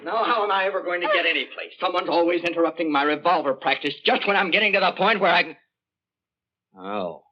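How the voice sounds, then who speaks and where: An excitable young man in an old radio play. His voice sits a bit high, and he talks bluntly in boyish slang. The vintage recording sounds muffled. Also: fast talker. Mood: brash. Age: young adult. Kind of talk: 1940s radio drama acting.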